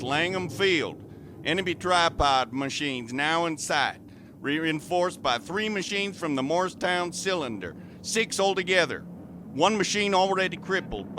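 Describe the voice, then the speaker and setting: An army bomber pilot in an old radio play. His high voice is clipped and urgent as he reports to command. Steady vehicle noise runs clearly behind him. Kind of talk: radio drama acting. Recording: noisy radio transmission with engine drone.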